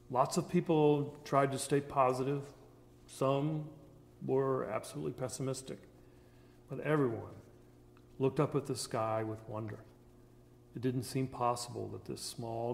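A man's voice: mid-range voice, vocal fry, darkish tone. A man playing a grave disaster survivor giving testimony in a radio drama, in a reverberant space. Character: grave male disaster survivor recounting the catastrophe. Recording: long reverberant hall echo.

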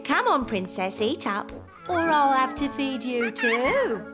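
Very high, chirpy cartoon nanny. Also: female voice, very animated, slow pace, exaggerated voice. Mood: coaxing, chirpy. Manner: singsong, coaxing. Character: chirpy, singsong adult maid and nanny. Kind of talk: children's cartoon voice acting. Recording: loud music bed under dialogue.

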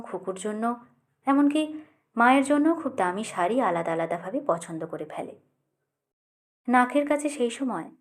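A woman's voice: on the high side, a little mellow. A woman narrating a literary short story expressively, as an audiobook reader.

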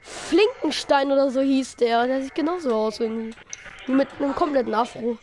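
A hoarse woman chatting and shouting excitedly while playing a game with friends. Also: sits high, fairly quick, slight background noise. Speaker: gamer. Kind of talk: casual let's-play banter.

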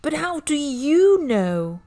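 A woman's voice: high voice, big pitch swings, slow pace, warm tone, voice pushed up, exaggerated voice. A woman voicing a reluctant, anxious little boy full of questions in a children's storybook. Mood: worried. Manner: worried, questioning. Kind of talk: children's storybook read-aloud.

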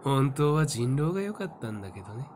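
A man's voice: mid-range voice, big pitch swings, brisk, a little mellow, a little hiss or hum, lightly acted. A man acting a cynical young seer in an audio drama, nonchalant, flippant and coolly taunting. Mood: mocking.

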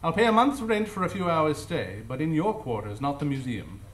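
An actor playing a composed, precise gentleman detective in a live radio drama, speaking formally. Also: a little high, lively intonation, moderate pace, close to an everyday voice. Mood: dry, composed. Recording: echoey live stage recording.

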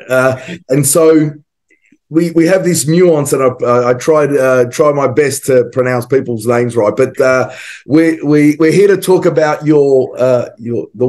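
A man's voice: medium pitch, darkish tone. Hesitant Australian man hosting a video chat. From western suburbs of Melbourne, Australia. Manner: hesitant, with many ums and restarts. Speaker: podcast host. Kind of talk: casual interview over video call. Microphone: video call, webcam.

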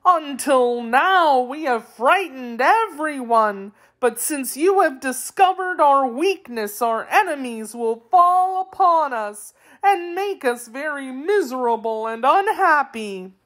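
A woman voicing a fearful, eager male wheeled creature from a children's storybook, pleading and excitable. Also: high voice, slow, voice pushed up, full character voice. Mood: tearful despair.

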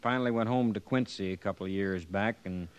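A man's voice: fairly low pitch, a little fast, clear, resonant tone, lo-fi sound, natural voice. A man playing a weary, plain-spoken drifter cowboy in an old-time radio western, with a folksy, laid-back manner. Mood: relieved, easygoing.

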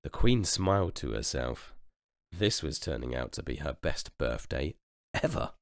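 A deep-voiced man narrating a children's storybook. His reading is highly animated, with a gravelly edge and expressive turns. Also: a little slow, no echo, studio quality. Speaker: storybook reader.

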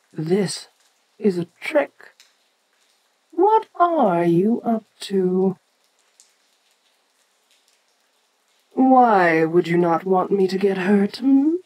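A woman playing an ancient, imperious kaiju giantess in an audio roleplay, speaking formally and with deliberate, musing condescension. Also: mid-range voice, warm tone, poor audio. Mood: suspicious, stern. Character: imperious ancient female kaiju giantess, haughty, curious, domineering. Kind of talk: audio roleplay addressed to the listener.

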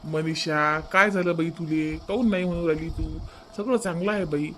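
A man's voice: a little high, normal speed, fairly bright tone, a little hiss or hum, close to an everyday voice. A man voicing a middle-aged village father in a Marathi family cartoon, practical and persuasive, with a Varhadi accent and homely, questioning delivery. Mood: coaxing. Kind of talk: comic family cartoon dubbing.

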